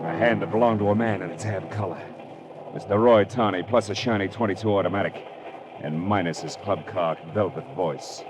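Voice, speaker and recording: Actor as hard-boiled, low-key sardonic radio detective. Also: male voice, fairly even intonation, a little fast, background noise, close to an everyday voice. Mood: tense, dramatic. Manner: sardonic, clipped, tough wisecracking. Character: hard-boiled wisecracking male private detective, narrating his own case. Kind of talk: 1940s-50s radio crime drama acting, first-person narration. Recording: old radio recording with music behind.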